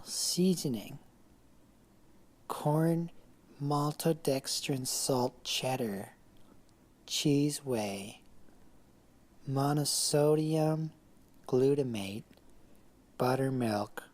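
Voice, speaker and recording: A man hosting a comedy radio show, reading in a slow, deadpan, halting way. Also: medium pitch. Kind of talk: deadpan mock poetry reading.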